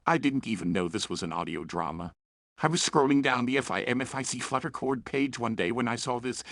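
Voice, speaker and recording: Animated man reviewer with a gravelly edge. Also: lowish voice, no room sound, clean studio sound. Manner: chatty, self-aware, opinionated. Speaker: host and reviewer of fan fiction and audio dramas. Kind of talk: scripted review narration with playful asides.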